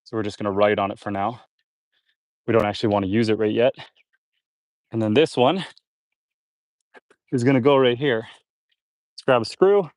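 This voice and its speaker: A man's voice: fairly low pitch, normal speed, pauses often, dry recording. A man live-streaming from his homestead, chatting casually while he builds and reading viewer comments, sounding a little congested. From Canada. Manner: relaxed, chatty, reads viewer comments aloud. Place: outdoors on a homestead.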